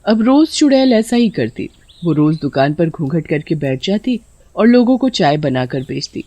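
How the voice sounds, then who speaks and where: Mellow woman narrating a story. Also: fairly low pitch, very animated, moderate pace, no echo, highs cut off.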